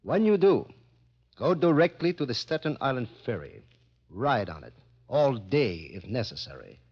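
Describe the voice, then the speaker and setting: An actor playing a menacing criminal giving terse orders in vintage radio drama style. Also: male voice, mid-range voice, breathy edge, full character voice. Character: menacing male criminal giving ransom instructions. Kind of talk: 1940s-50s radio drama acting. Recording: muffled vintage radio recording.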